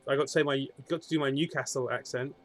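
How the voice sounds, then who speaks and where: A man's voice: medium pitch, steady tone, fast talker, a bit bright, slight background noise. A man co-hosting a casual stream about a fantasy sports board game league, chatty and jokey with many restarts. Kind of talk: casual chat commentary.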